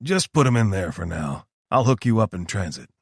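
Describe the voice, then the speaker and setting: Man doing a relaxed joking soldier, casual, wry, dry close read. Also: fairly low pitch, fairly quick, vocal fry, husky, dry recording, exaggerated voice. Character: laid-back joking male soldier.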